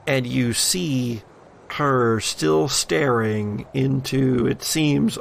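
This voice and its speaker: A man working as a game master, narrating tabletop horror in a deliberate, suspenseful way with drawn-out pauses. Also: mid-range voice, creaky, slight background noise.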